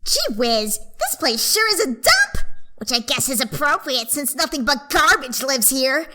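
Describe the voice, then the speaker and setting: Bratty, wildly swooping little flower creature. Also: female voice, sits high, sing-song, measured pace, pushed and bright, heavily acted. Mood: sneering mockery. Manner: sneering, sarcastic. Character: bratty foul-mouthed talking flower, spiteful and mean.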